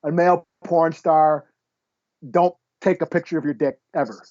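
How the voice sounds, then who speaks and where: A man with a somewhat high voice co-hosts a casual podcast. He is laid-back and wry. The sound is very dry and a little dull. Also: steady tone, normal speed, warm tone, no room sound. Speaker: podcast co-host. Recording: slightly dull, close dry recording.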